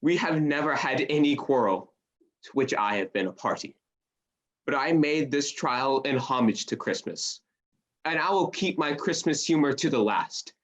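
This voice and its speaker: An actor playing a warm-hearted, earnest young gentleman, a cheerful nephew, with hearty declamation in a radio play. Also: medium pitch, expressive, moderate pace, muffled recording, close to an everyday voice. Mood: hearty, resolute.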